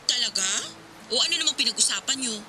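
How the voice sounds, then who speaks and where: A woman's voice: on the high side, lively intonation, fast talker, bright, forceful tone, a little hiss or hum, poor audio. A woman playing a brash, teasing, impatient older sister in an audio drama, full of sassy slang. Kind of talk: acted radio drama dialogue.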